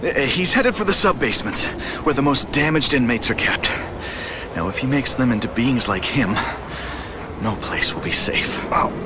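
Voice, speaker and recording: An actor voicing a hesitant middle-aged male scientist in a video game cutscene, coughing and explaining haltingly with many fillers. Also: medium pitch, animated, noisy background, close to an everyday voice. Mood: nervous.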